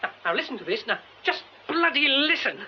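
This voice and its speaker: An actor playing an excitable, romantic young British theatre man in a radio drama, exclamatory and effusive, often breaking off mid-thought. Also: extremely high pitch, brisk. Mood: breathless excitement. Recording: dull, faintly noisy radio recording.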